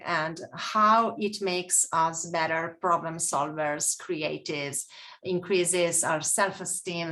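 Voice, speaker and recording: A woman presenting a webinar as a nature coach, talking hesitantly with many pauses and fillers over a video call. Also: fairly low pitch, bright, forceful tone. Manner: hesitant, many fillers, non-native phrasing.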